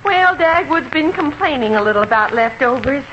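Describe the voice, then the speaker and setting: Naive young housewife, 1950s radio comedy, animated; dull, hissy old recording. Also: female voice, high voice, normal speed. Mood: earnest, chatty. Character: sweet, credulous young American housewife.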